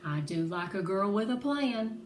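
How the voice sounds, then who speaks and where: A woman's voice: medium pitch, expressive, unhurried, close to an everyday voice. A woman voicing a tough, proud, easygoing grown woman with a folksy, laid-back manner in a storybook reading. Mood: admiring. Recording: roomy echo, faint background noise.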